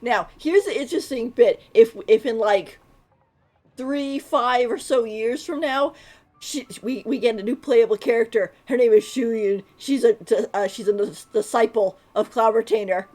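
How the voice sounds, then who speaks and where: A woman's voice: fairly high pitch, moderate pace, a little mellow. A woman streaming a game with casual live commentary, informal and gushing as she reacts.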